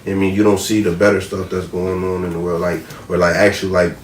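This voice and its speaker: A low-pitched American man talks in loose slang with swearing and repeated filler phrases. He sounds like a podcast panelist giving opinions, recorded in a roomy space away from the mic. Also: little pitch movement, quick pace. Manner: slangy, profane, repetitive verbal tags. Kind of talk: casual opinionated chat. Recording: roomy, distant mic, faint noise.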